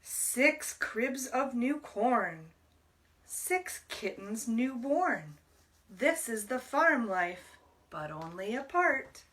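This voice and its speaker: A woman reading a picture book aloud to young children as a library storyteller, deliberately, pausing to explain words. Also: on the high side, lively intonation, a bit bright, far from the mic.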